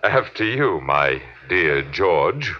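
Wry, low-voiced, swooping captive man. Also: sing-song, slow pace, very noisy, heavily acted. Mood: wryly defiant. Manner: dry, ironic, understated. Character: wry, stubborn, resigned human male captive. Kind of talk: 1950s science fiction radio drama acting. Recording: dull old radio recording.

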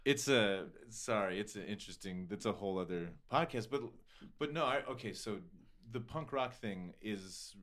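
A man's voice: lowish voice, normal speed, fairly bright tone. An American man hosting a casual podcast conversation, rambling and digressive, full of filler words and swearing. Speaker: podcast host.

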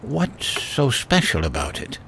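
A man's voice: mid-range voice, wildly swooping pitch, measured pace, creaky, fairly bright tone, slight background noise, full character voice. An actor playing a curious, stubborn, genial family father in a horror audio drama, musing and hesitant.

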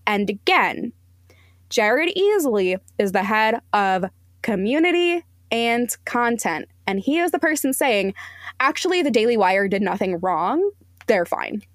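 A woman's voice: a little high, big pitch swings, unhurried, dry recording, studio quality. A woman speaking bluntly and emphatically as an opinionated guest critic in a casual podcast interview.

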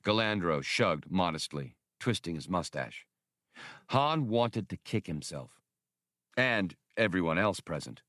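A man's voice: deep voice, expressive, unhurried, a bit bright, no room sound, studio quality. A man reading a scripted fiction audiobook as its narrator.

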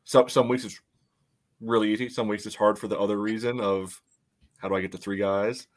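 Low-voiced man, halting casual delivery. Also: steady tone. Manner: halting, peppered with "you know". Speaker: podcast co-host. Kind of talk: casual sports analysis.

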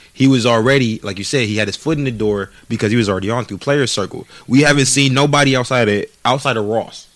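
A man's voice: medium pitch, fairly bright tone, no room sound. A man in his late twenties talking casually on a podcast, slangy and profane, often repeating words while he thinks. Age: 20s. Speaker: podcast co-host, aspiring rapper. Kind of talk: casual chat.

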